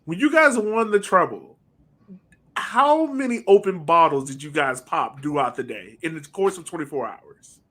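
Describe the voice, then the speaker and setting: Guy hosting a casual podcast chat, lowish voice, really animated up and down. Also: normal speed. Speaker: podcast host.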